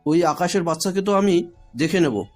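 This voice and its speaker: A man's voice: sits high, normal speed, soft background music, natural voice. A man playing a worried, vengeful young older brother in an audio story.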